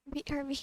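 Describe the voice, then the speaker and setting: A woman muttering casual, half-whispered commentary while playing a game, cursing at herself. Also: no echo. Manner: muttering, half-whispered, swearing, self-annoyed. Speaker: gamer streaming a playthrough. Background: loud game music.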